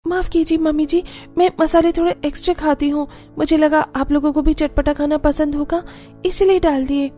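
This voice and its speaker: A woman's voice: high-pitched, steady tone, moderate pace, mellow, faint music behind, natural voice. A woman voicing a sweet, eager young daughter-in-law in a Hindi cartoon story. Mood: apologetic.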